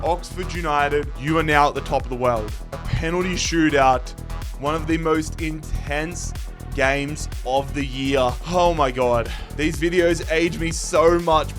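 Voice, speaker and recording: A young man, commentating his football game live, shouting at chances, his voice going hoarse. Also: on the high side. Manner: shouts and groans at every chance. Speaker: gaming YouTuber.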